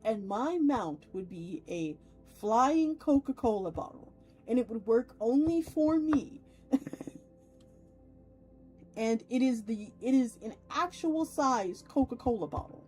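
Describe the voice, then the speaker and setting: A woman's voice: on the high side, animated, slow pace, darkish tone, soft background music, poor audio. A woman hosting a casual livestream, chatting with viewers in an effusive, playful way with theatrical turns of phrase. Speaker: VTuber livestream host.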